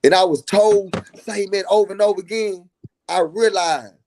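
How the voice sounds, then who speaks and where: Earnest high-voiced man preaching. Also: normal speed, darkish tone, a little hiss or hum. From Dallas, Texas. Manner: earnest, preachy, repeats himself for emphasis. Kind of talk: casual live stream chat.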